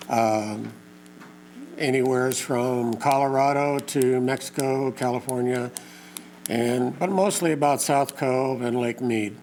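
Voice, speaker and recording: Hoarse guy talking to a town meeting, lots of uhs, regular pitch, bit of hum behind. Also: darkish tone. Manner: halting, many uh and um fillers, plain folksy wording. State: hoarse, losing his voice. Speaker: community meeting organizer and lake info page host. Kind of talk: informal public talk to a local meeting. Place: community meeting room.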